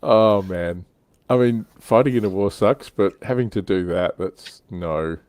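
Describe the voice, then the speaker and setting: A man with a very low voice hosts a relaxed hobby livestream. He sounds close and dry, and talks in a chatty, joking, informal way, pausing to read comments from viewers. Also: no echo. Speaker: livestream host. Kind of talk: casual hobby discussion, reading out viewer comments.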